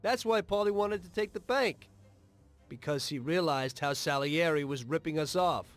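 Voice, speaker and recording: An actor playing a betrayed, principled mobster in a game cutscene, earnest and bitterly defiant. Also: male voice, fairly high pitch, moderate pace, lightly acted. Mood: persuading. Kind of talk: dramatic video game cutscene acting.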